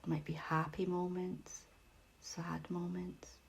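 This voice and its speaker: Very slow, gentle woman guiding meditation. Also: fairly low pitch, little pitch movement, vocal fry. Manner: gentle, unhurried, with long pauses. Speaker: meditation facilitator. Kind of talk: guided meditation.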